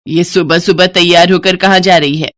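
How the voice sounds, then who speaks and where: A woman's voice: lowish voice, fairly even intonation, moderate pace, fairly bright tone, natural voice. A woman voicing a cruel, scornful older mother-in-law, harsh and taunting, in a family morality story.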